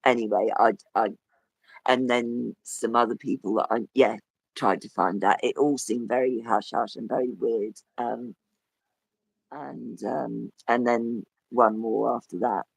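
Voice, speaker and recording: A man with a croaky, hoarse voice, talking as a guest in a rambling casual chat that trails off and restarts. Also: mid-range voice, fairly even intonation, unhurried, no room sound, poor audio. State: hoarse with a cough. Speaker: podcast guest. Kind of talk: casual conversation.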